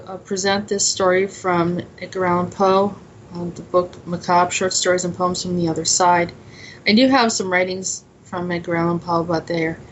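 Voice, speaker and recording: A woman giving an informal spiritual talk in a halting, meandering way. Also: lowish voice, a little hiss or hum, rough recording. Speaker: host and author presenting her own poem.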